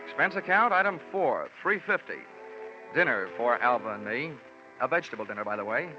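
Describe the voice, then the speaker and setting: A dull vintage radio recording with music behind it carries an actor playing a wisecracking American male investigator. He narrates his case in wry, deadpan asides with lively swings of pitch, in 1940s radio drama style. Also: a little fast, natural voice. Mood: dry, wry. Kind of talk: 1940s radio acting, first-person narration.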